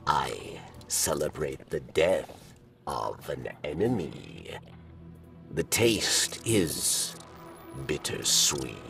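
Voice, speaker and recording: An actor playing a weary old alien outcast in a video game, grave and regretful, with halting, solemn phrasing and a gravelly voice. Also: male voice, a bass voice, big pitch swings, exaggerated voice. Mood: bittersweet, mournful. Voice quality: gravelly, breathy at times. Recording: distant sound under loud music.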